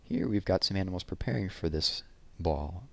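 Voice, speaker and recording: A man reading children's poems and picture books aloud in an expressive, deliberate way, with conversational asides. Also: deep voice, creaky.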